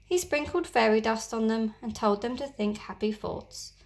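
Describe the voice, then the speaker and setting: Female teacher, story-time narrator, expressive, slightly gravelly. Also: a little high, moderate pace. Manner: expressive, gently animated storytelling. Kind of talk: reading a children's story aloud.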